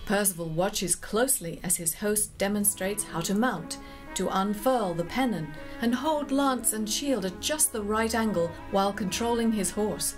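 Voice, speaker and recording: A woman narrator telling a scripted story in a measured, poetic storytelling manner. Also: medium pitch, over music.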